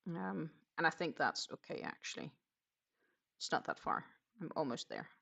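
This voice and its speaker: A woman hosting a casual craft livestream, chatting haltingly and muttering to herself in a self-deprecating way. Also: low-pitched, wildly swooping pitch, vocal fry, pushed and bright. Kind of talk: casual livestream chat while knitting.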